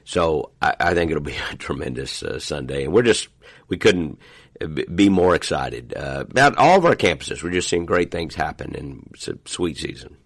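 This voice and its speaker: A middle-aged man, a pastor chatting on a church podcast, slightly hoarse and relaxed, trailing off with fillers. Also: extremely low pitch, moderate pace. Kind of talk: casual podcast chat.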